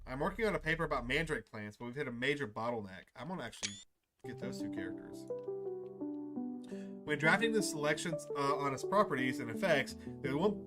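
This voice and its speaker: A gaming YouTuber with a medium-pitched voice plays through a visual novel. The dialogue is read aloud expressively, with off-the-cuff reactions and the odd stumble on long words. Music plays clearly behind the voice.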